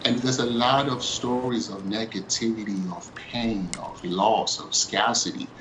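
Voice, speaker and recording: A man answering interview questions as a documentary producer, speaking in an earnest, steady, upbeat way. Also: fairly low pitch, poor audio.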